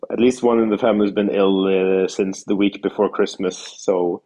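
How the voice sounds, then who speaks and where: Male podcast guest, low voice, native Norwegian with matching accent, relaxed informal conversation. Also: fairly quick, rough recording. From Norway. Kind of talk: casual chat.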